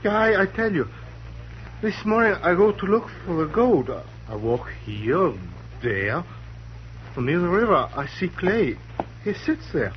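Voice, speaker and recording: An actor playing a frightened older German immigrant farmer with a German accent and halting, broken English, on an old radio broadcast. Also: male voice, high-pitched, big pitch swings, dull audio, slight background noise. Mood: rambling. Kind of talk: 1950s radio drama acting.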